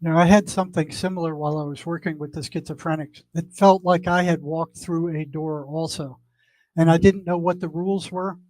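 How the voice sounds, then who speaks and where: A man's voice: a little high, fairly even intonation, fairly quick, a little mellow, poor audio. A man giving a conversational personal account in an interview.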